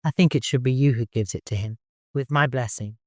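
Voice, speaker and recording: A man's voice: mid-range voice, brisk, no room sound, studio quality, lightly acted. A man voicing a commanding but caring father who leads a caravan, in an audiobook. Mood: calm, approving.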